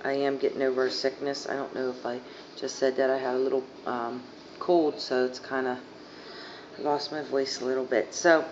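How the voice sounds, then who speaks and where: A young woman with a hoarse, fading voice rambling casually through a makeup haul, full of fillers, filmed on a phone on her living room couch. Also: very deep voice, flat delivery, moderate pace. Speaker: beauty vlogger. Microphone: phone camera at arm's length. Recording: dull lo-fi phone audio, faint hiss.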